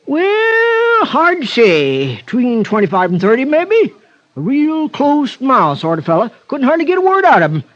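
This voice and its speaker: An actor playing a garrulous backcountry American old-timer, with a rural accent and a rambling, folksy manner in an old radio drama. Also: male voice, very high voice, huge pitch swings, normal speed, fairly bright tone, full character voice. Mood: gossipy, wry. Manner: rambling, hesitant, folksy and chatty. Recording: muffled vintage radio recording.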